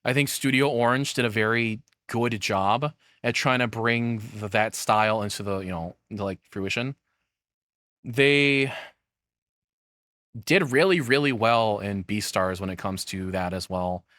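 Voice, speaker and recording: A man's voice: on the low side, a little slow, a bit bright, no echo, studio quality. A man giving casual, opinionated commentary, rambling and swearing bluntly as he goes. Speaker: streamer reacting to a video.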